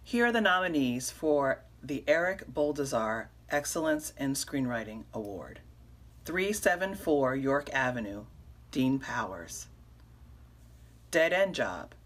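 A middle-aged woman presenting awards in a scripted announcement style, reading out nominees and winners. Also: extremely low pitch. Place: indoors, in front of a curtain.

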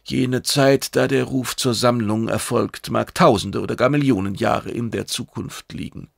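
A man reads as an impatient alien commander from a science fiction story. His delivery is formal and emphatic, pitched up and creaky, in a close, dry recording. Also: moderate pace, no room sound. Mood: cautioning. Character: Karadok, stern impatient male alien commander.

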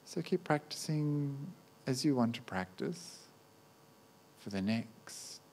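A man guiding a meditation as a teacher, speaking gently in short, unhurried phrases with long pauses. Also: medium pitch, expressive, creaky, slight background noise. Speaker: meditation teacher, Buddhist monk. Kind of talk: guided meditation.

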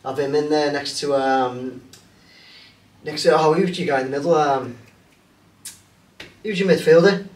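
Male host, high voice, rambling chat, echoey room, far mic. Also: fairly even intonation, a little slow, long pauses. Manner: rambling, hesitant, lots of fillers. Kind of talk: casual chat. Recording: roomy, distant mic, faint hiss.

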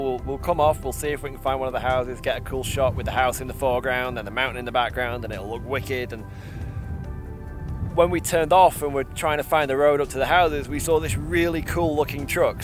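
A man in his thirties chatting casually to the camera in a travel vlog, rambling a little, recorded outdoors in a windy open field on a close handheld camera mic. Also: medium pitch. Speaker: vlogger. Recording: background music under the voice.

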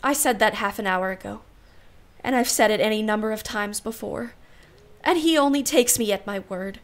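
A woman's voice: medium pitch, moderate pace, natural voice. A woman playing a proud, wry, refined aunt in a period radio play, with formal, precise diction. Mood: pensive, unsettled.

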